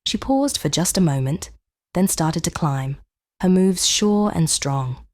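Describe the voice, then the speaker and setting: A woman narrating a children's bedtime story in a gentle, measured, expressive read-aloud style. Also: on the low side, no room sound, clean studio sound.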